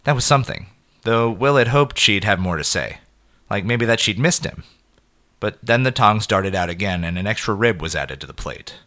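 A man narrating a scripted short story in an expressive, measured way with clipped phrases. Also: fairly low pitch.

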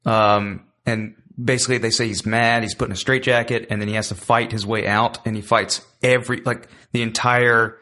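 A man's voice: lowish voice, little pitch movement, normal speed, few pauses, pushed and bright. A man guest hosting a podcast, telling a story hesitantly with frequent restarts. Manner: hesitant, restarts often while recalling. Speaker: guest host. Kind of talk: casual chat.

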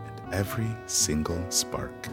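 A man with an exceptionally deep voice reads out the closing credits, calm and even. Clear guitar music plays behind him. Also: a little slow. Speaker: credits announcer.

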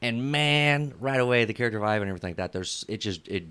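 A man's voice: mid-range voice, animated, fast talker, bright, forceful tone, smooth, dry recording. A man hosting a podcast, chatting casually and enthusiastically with slangy speech. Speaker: podcast host.

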